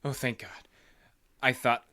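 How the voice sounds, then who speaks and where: A man's voice: mid-range voice, level tone, unhurried, bright, forceful tone, dry recording. An actor playing an amiable, flirting man at a bar in an audio drama, chatty and teasing. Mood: alarmed, pleading. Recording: prominent music behind the voice.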